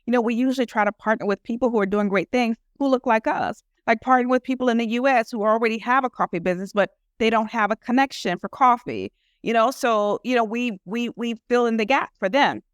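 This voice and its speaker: A woman's voice: a little high, fast talker, no room sound, clean studio sound. A woman guest in a casual interview who talks earnestly about her work, rambling and filling her speech with "you know". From United States. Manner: earnest, rambling with many fillers. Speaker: guest entrepreneur.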